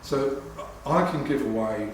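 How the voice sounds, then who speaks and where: Male adviser, methodical and matter-of-fact, mid-range voice, heard from afar in a boomy room. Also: lively intonation, unhurried. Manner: methodical, lays out rules point by point. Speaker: tax adviser. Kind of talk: expert explanation on a panel. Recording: echoey room, distant mic, noisy.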